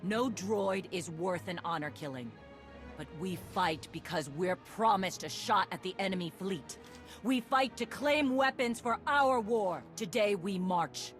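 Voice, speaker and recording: Gruff battle-hardened warrior woman commander. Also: medium pitch, animated, slow, soft background music. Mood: rousing. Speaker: military leader. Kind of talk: dramatic video game acting.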